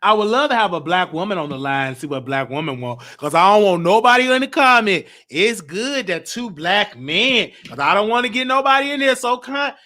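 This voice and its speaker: An American man hosting a live call-in show in a casual, animated, repetitive way. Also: sits high, fairly quick, hardly any pauses. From Chicago, United States. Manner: animated, repeats himself. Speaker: online call-in show host.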